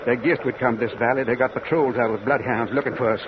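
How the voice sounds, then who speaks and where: Actor as gloomy convict sidekick, muffled old radio. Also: male voice, medium pitch, fairly even intonation, fast talker, lightly acted. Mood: worried. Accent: American. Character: anxious, grumbling escaped convict sidekick, gloomy. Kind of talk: 1950s radio drama acting. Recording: muffled, noisy vintage radio recording.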